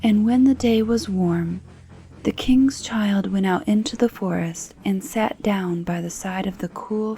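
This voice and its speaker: A woman softly narrating a fairy tale as ASMR bedtime storytelling, at times nearly whispering. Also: mid-range voice. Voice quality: soft, partly whispered. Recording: noticeable background noise.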